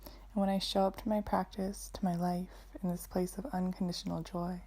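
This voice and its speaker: A woman teaching yoga and guided meditation in a soothing, measured way with deliberate phrasing. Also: fairly low pitch, level tone, warm tone, husky.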